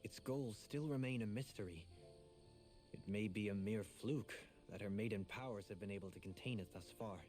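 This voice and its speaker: Man as scholarly young noble, lower pitch, measured, formal, composed, video game drama, clear background score. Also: darkish tone, lightly acted. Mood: grave, pondering. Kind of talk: anime video game voice acting. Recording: game music behind the voice.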